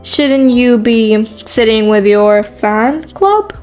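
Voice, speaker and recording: A woman's voice: a little high, animated, slow pace, darkish tone, faint music behind. A woman playing a shy but sarcastic teenage new girl, narrating a scripted romance story in the first person.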